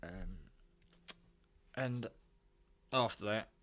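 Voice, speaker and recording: A British man with a fairly low voice presents a homemade project from his kitchen. He speaks in an informal, rambling, unscripted way, with the odd mild swear word, like a hobbyist talking to his viewers. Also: extremely slow, a bit bright. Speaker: hobbyist vlogger presenting own radio build. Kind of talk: casual unscripted demonstration talk. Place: home kitchen.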